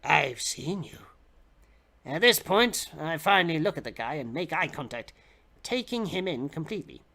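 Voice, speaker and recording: Bright-voiced man giving a dramatic reading. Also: a little high, very animated, fairly quick. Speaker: reader of comic fiction on a livestream. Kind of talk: dramatic audiobook-style reading.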